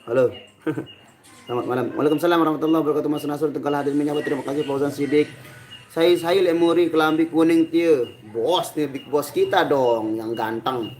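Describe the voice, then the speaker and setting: Hoarse man chatting on a livestream. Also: fairly high pitch, fairly quick. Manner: relaxed, informal, greeting people by name. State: recovering sore throat, hoarse voice. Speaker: livestream host and singer. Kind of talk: casual livestream chat, greeting viewers.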